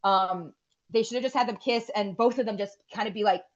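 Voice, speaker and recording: A woman's voice: medium pitch, a little fast, highs cut off. A woman in her forties, co-hosting a podcast and chatting casually, rambling and swearing freely. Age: 40s. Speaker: podcast co-host. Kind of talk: casual chat.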